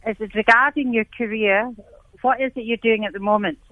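A woman's voice: fairly high pitch, fairly even intonation, brisk, no room sound. A woman working as a psychic medium, giving readings to callers over the phone in a motherly way. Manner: motherly, calls callers dear. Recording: tinny phone line.

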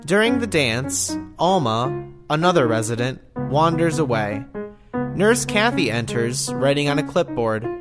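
A man's voice: a little high, very animated, unhurried, over music. A man narrating an audio play, reading out scripted stage directions and scene changes.

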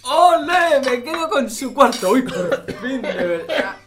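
A woman's voice: sits high, very animated, slow pace, full character voice. A woman playing a smug, teasing teenage son in a family audio sitcom. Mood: gleeful teasing. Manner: teasing, pedantic. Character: cheeky, smug, know-it-all teenage son. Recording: clinking dishes and cutlery noise.

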